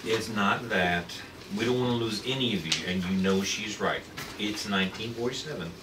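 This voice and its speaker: A man's voice: lowish voice, normal speed, lightly acted. A man reading the part of a firm but fair government secretary in a retro space adventure table read. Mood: concerned, firm. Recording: echoey room, distant mic, clear noise.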